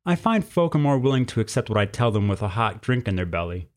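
A man's voice: lowish voice, sing-song, quick pace. A man voicing a stern, plainspoken female cougar with folksy country phrasing in a storybook. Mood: folksy, amused. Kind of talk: storybook character voice.

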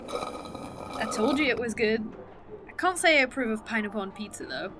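A woman's voice: on the high side, lively intonation, noisy background, close to an everyday voice. An actress playing a snarky, teasing woman time traveler with a fake arm in an indie audio drama. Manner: dry, teasing, quick-witted.

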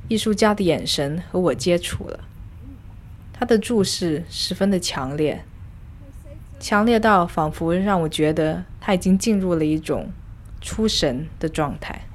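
A woman narrating a scripted first-person arts audio log in a reflective, measured, literary manner. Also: on the low side, lively intonation, slight background noise. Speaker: host. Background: music.

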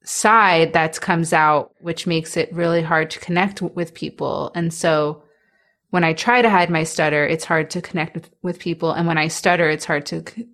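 A woman's voice: deep voice, fairly even intonation, normal speed. A young woman in her twenties who stutters, speaking candidly as an interviewee with restarts, repeated sounds and light laughs. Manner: soft-spoken, laughs between thoughts.

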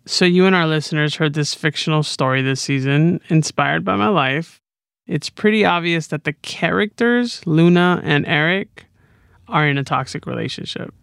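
A man's voice: fairly high pitch, normal speed, gravelly, fairly bright tone, clear, resonant tone, no echo, clean studio sound. An American man co-hosting a podcast in a conversational style. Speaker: podcast co-host.

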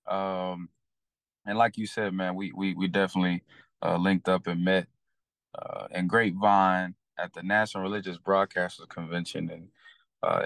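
Young Texan man, deep voice, dry close recording, easygoing podcast guest. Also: vocal fry, no echo. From Texas. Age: young adult. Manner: relaxed, many fillers and restarts. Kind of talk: casual storytelling.

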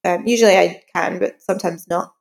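Author guest, woman, lowish register, informal and reflective interview talk, recorded with heavy room reverb. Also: fairly even intonation, brisk, creaky, a little mellow. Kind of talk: casual interview conversation. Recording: long reverberant room sound.

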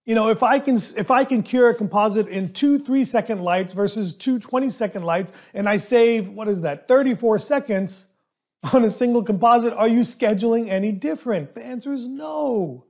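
A man who speaks English as a second language, hosting a solo podcast as a dentist and coaching his listeners in an emphatic, animated way. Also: very high voice, moderate pace. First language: not English.

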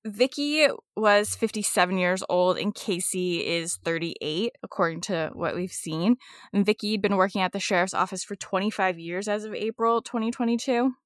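A woman's voice: mid-range voice, moderate pace, no echo, clean studio sound. A woman co-hosting a true-crime podcast, chatting casually and reading news, a bit sniffly and congested. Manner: chatty, laughs easily, many fillers. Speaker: podcast co-host.

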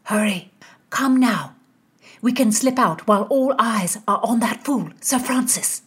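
A woman's voice: fairly high pitch, measured pace, husky, pitched above the performer's own voice. A woman voicing a furtive young lady-in-waiting in a storybook, urgent, bossy and snappish.

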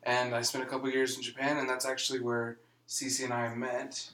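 A man's voice: mid-range voice, monotone, pushed and bright. Laid-back young man, echoey room. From Seattle, USA. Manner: laid-back, dry, halting. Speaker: guest.

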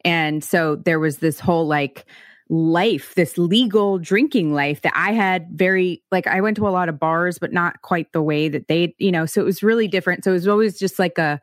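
A woman's voice: on the low side, normal speed, dry recording. Chatty woman host, dry close sound. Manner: chatty, frequent "like" fillers, encouraging. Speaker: podcast host. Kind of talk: casual interview.

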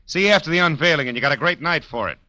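An actor playing a brash, sardonic American private detective in 1940s radio style, with clipped, wisecracking tough talk. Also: male voice, on the high side, animated, rapid-fire, pushed and bright. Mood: brash, pushy. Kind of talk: 1940s radio acting, first-person narration and dialogue.

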